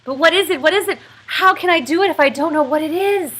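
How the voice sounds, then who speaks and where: A woman's voice: extremely high pitch, fast talker, a bit bright, voice pushed up. A woman voicing a desperate captive man from a novel, pleading with his tormentor in a strained, urgent way. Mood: panicked. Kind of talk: literary reading of novel dialogue.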